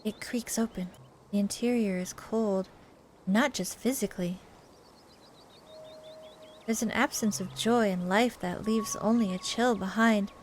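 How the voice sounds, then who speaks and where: A woman reading a visual novel aloud and commenting on it, with expressive, gushing asides. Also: mid-range voice, unhurried, pauses often, slight background noise. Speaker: gaming video creator reading and reacting to a visual novel. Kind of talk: playthrough commentary with character voices and narration. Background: game music.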